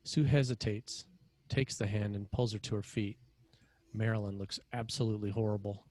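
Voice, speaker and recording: Low-pitched man narrating a screenplay. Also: fairly even intonation, moderate pace, darkish tone. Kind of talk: scripted screenplay narration, present tense.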